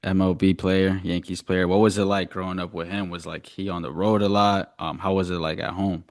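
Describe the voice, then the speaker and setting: A man's voice: deep voice, little pitch movement, fairly quick, no echo, studio quality. A young man speaking as a podcast host in a casual, slangy interview style. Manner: informal, slangy.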